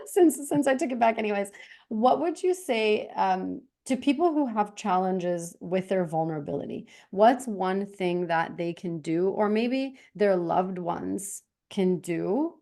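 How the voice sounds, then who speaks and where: A woman's voice: medium pitch, darkish tone. A woman from Canada hosting an interview, speaking in an earnest, reflective way with frequent restarts. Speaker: host. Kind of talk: conversational interview.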